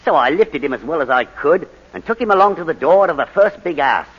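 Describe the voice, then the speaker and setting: An actor playing a blunt, slangy ex-soldier on the witness stand in a 1940s radio drama. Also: male voice, a little high, lively intonation, a little fast, breathy edge. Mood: grim, emphatic. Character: blunt, shifty English ex-soldier testifying in court. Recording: muffled old 1940s radio recording.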